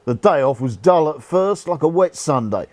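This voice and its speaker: An actor playing a cheerful, irreverent rough soldier's ghost in a radio play, talking in jaunty, colloquial speech. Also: male voice, a little high, lively intonation, normal speed, a little mellow, no room sound, close to an everyday voice. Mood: jolly, unrepentant. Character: cheeky, cheerful old-soldier ghost, rough common man. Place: actor's home.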